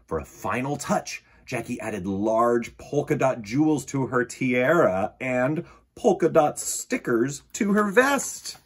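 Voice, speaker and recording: A man hosting storytime for children, reading a picture book aloud in an expressive, emphatic way. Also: medium pitch, slow, breathy edge. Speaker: children's storytime host and reader.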